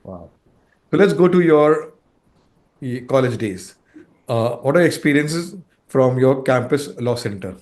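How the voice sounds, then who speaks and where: A man's voice: mid-range voice, lively intonation, a little slow, darkish tone. A man hosting an interview, giving short reactions and asking questions. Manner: brief reactions and prompting questions. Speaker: interview host.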